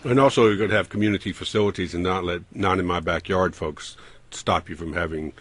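Low voiced guy on a radio panel, bit husky, asking questions conversationally. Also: steady tone, fairly quick, a bit bright.

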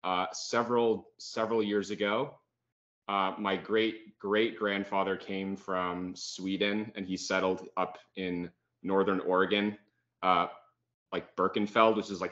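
Laid-back American man, bright low voice. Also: little pitch movement, a little slow. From United States. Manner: laid-back, says "like" a lot. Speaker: podcast guest. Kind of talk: casual video-call interview. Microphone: video call. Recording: muffled video-call audio.

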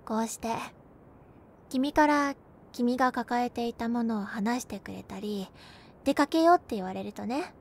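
A young woman playing a gentle, cheerful girlfriend who comforts her overworked boyfriend in an intimate audio roleplay, with a soft, playful manner. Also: on the high side, normal speed, vocal fry. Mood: reassuring, tender. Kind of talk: Japanese binaural situation-voice roleplay, spoken to the listener.

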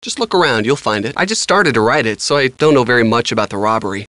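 A man's voice: mid-range voice, expressive, quick pace, fairly bright tone, no echo, clean studio sound, lightly acted. A man voicing a casual, evasive handyman in a video game, with a breezy, informal manner. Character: casual, evasive handyman living secretly in a mansion. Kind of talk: video game character dialogue.

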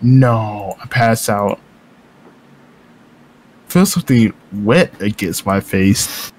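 A man reading game narration aloud in a casual group playthrough, jokey, excitable and prone to swearing. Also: fairly low pitch, drawn-out words, rough recording. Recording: music under the voice. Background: game music.

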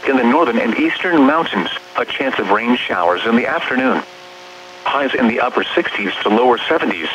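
A synthetic man's voice reading an automated weather bulletin in a measured, impersonal way, as a radio announcer. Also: medium pitch. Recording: hummy, muffled weather radio reception. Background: radio hum and noise.

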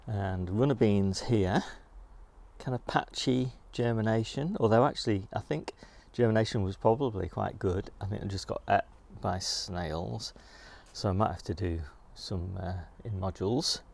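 A man's voice: on the low side, moderate pace, darkish tone. A middle-aged man giving a casual, unscripted garden tour in an understated way, musing aloud as he walks outdoors. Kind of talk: casual unscripted vlog narration while walking. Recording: clear outdoor background noise.

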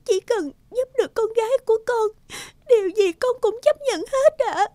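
A woman playing a gentle, devoted witch mother in a cartoon, emotional and pleading. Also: very high voice, expressive, normal speed, no echo. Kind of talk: cartoon dubbing.

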